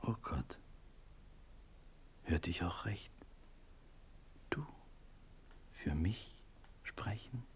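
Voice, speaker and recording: An actor playing a despairing young condemned hero in a classical verse drama, in a breathy, husky near-whisper. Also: male voice, deep voice, expressive, extremely slow, long pauses, full character voice. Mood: awed, fragile hope.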